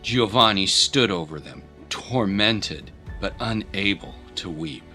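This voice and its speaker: Male narrator for dramatic fiction; lowish pitch, crisp forceful edge, scripted storytelling. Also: animated, slow pace, faint music behind.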